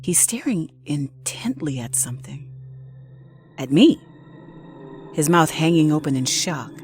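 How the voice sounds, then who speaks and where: A woman's voice: deep voice, lively intonation, unhurried, darkish tone. A woman playing a guarded, scheming nurse who narrates her revenge tale in an intimate, suspenseful audio drama style. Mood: tense, suspenseful. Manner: intimate, suspenseful, acted delivery. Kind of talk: dramatic first-person audio fiction narration. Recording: dramatic music behind the voice.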